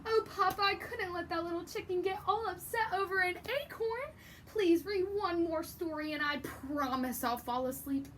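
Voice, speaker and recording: An eager little chicken girl, put on by a woman storyteller, speaks in a very high, childlike voice. She exclaims and pleads like an impatient child in a bedtime storybook, with clear background noise. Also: moderate pace, fairly bright tone. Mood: upset, pleading. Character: excitable little red chicken, young girl, interrupting, pleading.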